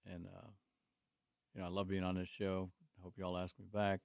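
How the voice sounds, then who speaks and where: A man's voice: low-pitched, completely flat delivery, normal speed, creaky, a little mellow, clean tone, no room sound, studio quality. A man, a guest on a talk show, telling rambling stories about his life and faith with many restarts. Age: 60s. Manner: speaks openly about faith. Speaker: guest, construction company owner. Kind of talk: casual talk show conversation.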